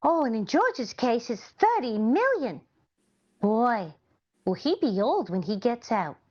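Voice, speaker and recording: A woman's voice: fairly high pitch, very animated, unhurried, a little mellow, muffled recording. A woman playing a scatterbrained, naive and sincere housewife in 1940s-style radio comedy. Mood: alarmed. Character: scatterbrained, earnest, cheerfully illogical 1940s housewife.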